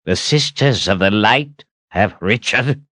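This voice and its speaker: Male narrator as old wizard, fierce temper, wide pitch swings, intense, brooding, fantasy audiobook. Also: animated, slow, pushed and bright, no echo, exaggerated voice. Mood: stern disbelief. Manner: intense, brooding, explodes in anger. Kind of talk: dramatic audiobook character reading.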